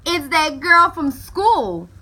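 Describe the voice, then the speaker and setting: A woman's voice: high voice, big pitch swings, takes it slowly, sharp tone, exaggerated voice. A woman voicing an obnoxious, jeering schoolboy bully in a children's storybook. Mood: mocking.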